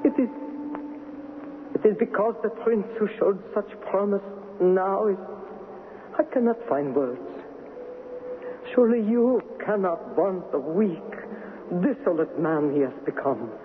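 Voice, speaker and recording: An actress playing a weary older empress in a radio drama, solemn, formal and pleading. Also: female voice, mid-range voice, animated, measured pace, pauses often, close to an everyday voice. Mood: sorrowful, imploring. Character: weary, sorrowful older empress, regal mother. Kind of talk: 1970s radio drama acting. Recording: muffled, noisy 1970s radio with long reverb.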